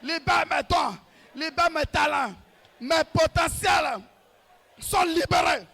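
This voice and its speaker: A man leading a shouted deliverance prayer, hammering out short repeated commands in a rhythmic, commanding way. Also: very high voice, slow, vocal fry, a faint crowd. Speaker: prayer leader.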